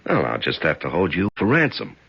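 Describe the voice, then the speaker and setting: A gravelly, sneering gangster. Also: male voice, deep voice, huge pitch swings, brisk, heavily acted. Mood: menacing. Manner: sneering, coolly threatening. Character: smooth menacing male gangster, blackmailer. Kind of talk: 1970s radio drama acting. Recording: dull old radio broadcast.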